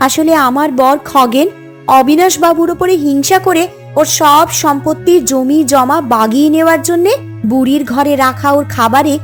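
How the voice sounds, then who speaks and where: An actress playing a conscientious, distressed village wife in a cartoon, with a pleading, reproachful way of talking. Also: female voice, high voice, natural voice. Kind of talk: dubbed cartoon dialogue. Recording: music under the voice.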